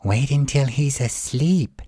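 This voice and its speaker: A man's voice: mid-range voice, slow, warm tone, husky, no room sound, studio quality, pitched above the performer's own voice. A man voicing a fussy, flustered female ogre in a storybook, with fretful, motherly phrasing. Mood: placating. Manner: fretful exclamations, coaxing and motherly. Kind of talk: storybook character voice.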